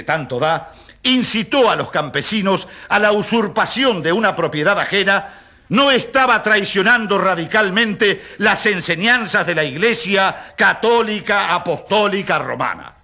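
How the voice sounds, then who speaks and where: A man's voice: high voice, lively intonation, unhurried, bright, forceful tone, exaggerated voice. A man playing an indignant, pompous prosecutor in a radio courtroom drama, oratorical and sarcastic, with emphatic rhetorical questions. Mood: challenging. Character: indignant, pompous male prosecutor in a church trial.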